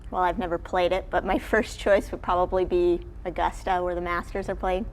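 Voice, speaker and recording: Lady coach from canada chatting in an interview, lowish voice, slight background noise. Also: flat delivery, fairly quick, poor audio. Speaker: college golf coach, interview guest. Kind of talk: casual interview chat. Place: television studio.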